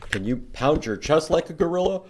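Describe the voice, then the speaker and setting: A man in his fifties hosting a children's storytime, reading and teaching in an animated, emphatic way for young children. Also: fairly high pitch, fairly quick, background noise. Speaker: library storytime host. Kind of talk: children's read-aloud and teaching. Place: indoor public library room.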